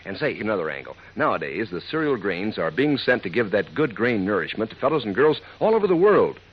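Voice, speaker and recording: A folksy male announcer pitches to young listeners in 1940s radio ad style. His voice is husky and swings widely in pitch, on a dull, old broadcast recording. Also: sing-song, fairly quick. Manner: chummy, folksy, emphatic. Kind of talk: 1940s radio cereal advertisement.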